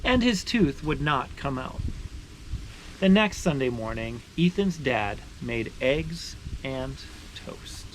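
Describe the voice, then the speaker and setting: A man narrates a children's picture book in an expressive, deliberate way, leaning on key words. His voice sits at an ordinary male pitch. There is some faint ambient noise behind him. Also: low-quality recording. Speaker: storyteller reading a picture book aloud. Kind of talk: children's read-aloud narration. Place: beside a boiling maple sap evaporator. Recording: steady ambient background noise.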